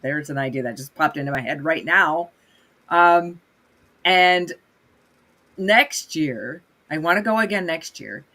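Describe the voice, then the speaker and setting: A woman from Minnesota, a guest on a livestream, chatting in a lively storytelling way with a voice hoarse from a cold. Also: sits low, a little slow. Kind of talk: casual livestream conversation.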